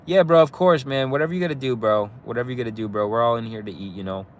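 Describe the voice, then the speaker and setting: A young man talks with viewers on a live stream from his phone, slightly sniffly from a cold. His voice sits at an everyday middle pitch, and he drifts into loose, rambling chat packed with "like" and "bro", laughing often. Faint street noise runs behind him outdoors. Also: very fast. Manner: laid-back, rambling, full of "like" and "bro", laughs easily. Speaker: YouTuber hosting a live stream. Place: outdoors, across the street from a police station.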